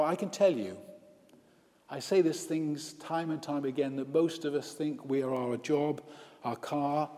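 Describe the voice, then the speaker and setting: A male priest and hospital chaplain preaching a baptism sermon, slow and emphatic, with repeated lists. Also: on the high side, a little mellow. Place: church with long reverb. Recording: reverberant church hall.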